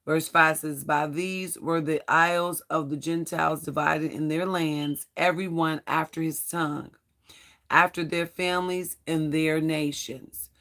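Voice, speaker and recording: A Bible study host with a hoarse, strained voice, reading scripture aloud and adding earnest spiritual commentary, stumbling now and then over hard names. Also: mid-range voice, fairly even intonation, unhurried, bright, forceful tone. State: hoarse, losing voice.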